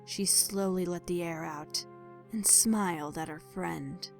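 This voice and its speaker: A woman reading a scripted dramatic story as narrator, measured and expressive in her delivery. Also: on the low side, gravelly, faint music behind.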